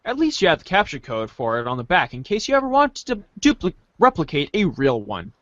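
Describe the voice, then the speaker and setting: A man reading a story aloud in a playful, theatrical way, as an animated second-person narrator. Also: a little high, brisk, no echo, lo-fi sound.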